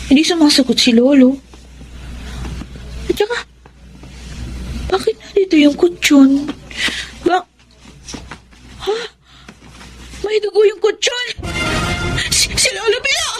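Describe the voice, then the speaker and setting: A sweet young girl, somebody's granddaughter, in a melodramatic radio play. Her voice is very high and full of feeling, with soft music behind. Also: very animated, takes it slowly, pauses often, exaggerated voice. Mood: worried, frightened. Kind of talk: Tagalog radio drama acting.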